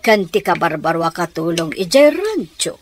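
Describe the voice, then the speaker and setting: A woman's voice: lowish voice, big pitch swings, normal speed. An actress playing a sly, manipulative middle-aged stepmother in a radio drama, with a theatrical, conniving delivery. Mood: coaxing. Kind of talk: Ilocano radio drama acting.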